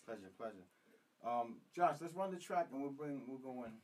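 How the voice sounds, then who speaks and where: A man hosting a talk show, originally from Puerto Rico, chatting casually with many ums and pauses. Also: medium pitch. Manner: relaxed, hesitant with frequent ums. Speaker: podcast host. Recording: distant mic, faint room noise.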